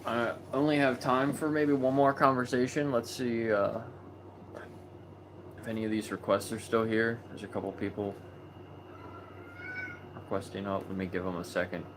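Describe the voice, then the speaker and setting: A man hosting a live video chat, talking in a relaxed, chatty way with many fillers. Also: on the low side, moderate pace, pauses often, noisy background. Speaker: host of a live video chat about animal rights. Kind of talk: casual livestream chat.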